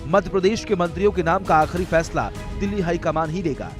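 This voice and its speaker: Male reporter, fairly high register, scripted news package read, clear music bed. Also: a little fast.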